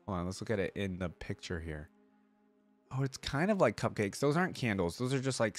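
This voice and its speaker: A man hosting a casual reaction video, rambling and thinking out loud with enthusiasm. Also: on the low side, no room sound. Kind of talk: casual reaction commentary, reading aloud.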